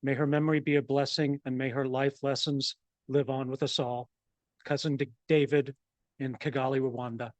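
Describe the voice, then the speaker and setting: Brief: male guest reading a message aloud, loud and monotone, dry close sound. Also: medium pitch, normal speed, no echo.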